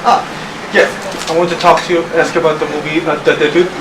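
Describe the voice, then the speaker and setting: A man puts polite, slightly halting questions to a guest at a press conference. He is picked up from across the room, so his somewhat high voice sounds distant and echoey over steady noise. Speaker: press interviewer.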